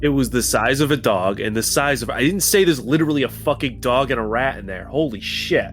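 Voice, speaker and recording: A man's voice: mid-range voice, animated, brisk, faint music behind. A man chatting casually as a player in a tabletop roleplaying game.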